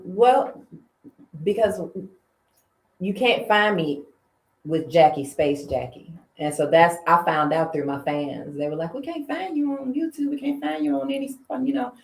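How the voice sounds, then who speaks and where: A singer from Illinois, a woman, talking as an interview guest in an animated, chatty way. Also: low voice. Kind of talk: casual interview. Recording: roomy studio sound.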